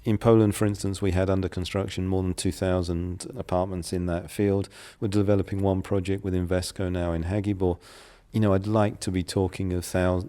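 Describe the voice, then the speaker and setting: Man, English accent, middle-aged, very deep voice, gravelly, measured business talk. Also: warm tone. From England. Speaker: guest, property developer. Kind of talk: interview answers.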